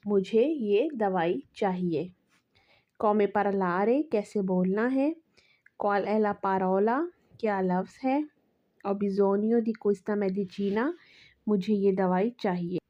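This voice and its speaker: A woman teaching language phrases. She says each phrase very slowly, repeats it, then explains what it means. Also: mid-range voice, darkish tone. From Pakistan. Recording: dull lo-fi recording.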